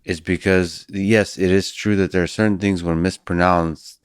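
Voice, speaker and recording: A man whose first language is Russian, hosting a podcast interview and asking slow, measured questions in a studio. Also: low-pitched, fairly even intonation, smooth, no echo. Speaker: podcast host.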